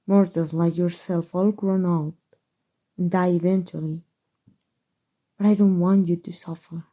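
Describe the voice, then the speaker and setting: A woman with a Mexican accent voicing an elegant, centuries-old female vampire in an ASMR roleplay, doting and softly formal, with a breathy voice. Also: on the low side, steady tone. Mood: doting, wistful. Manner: soft, tender, formal and unhurried endearments.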